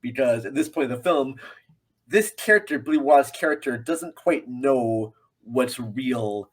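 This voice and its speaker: A man with a mid-pitched voice talks casually as a guest film reviewer. He rambles from point to point, joking freely and poking fun at himself. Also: animated, a little slow. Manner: rambling, jokey, self-deprecating.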